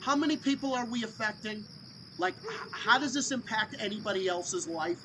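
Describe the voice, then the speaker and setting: A man hosting a live stream call, ranting loudly and swearing constantly. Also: high-pitched, animated, normal speed, noisy background. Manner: profane, loud ranting.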